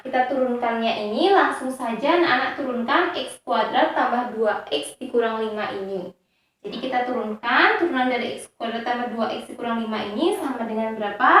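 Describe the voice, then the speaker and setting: Echoey, higher-voiced woman teaching step by step. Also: a bit bright. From Indonesia. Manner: didactic, checks in with frequent tag words. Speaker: high school math teacher. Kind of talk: step-by-step lesson explanation. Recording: very echoey, reverberant room.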